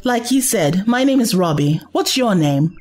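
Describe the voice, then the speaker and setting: An actress playing a level-headed, plucky companion to a time traveler in an audio drama, frank and diplomatic. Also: female voice, medium pitch, expressive, normal speed, a little mellow, soft background music, lightly acted. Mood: placating.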